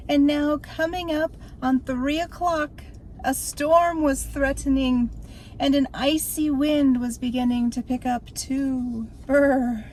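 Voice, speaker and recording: An older woman reading a spooky story aloud in an expressive, theatrical storytelling manner. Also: fairly high pitch, slight background noise, low-quality recording.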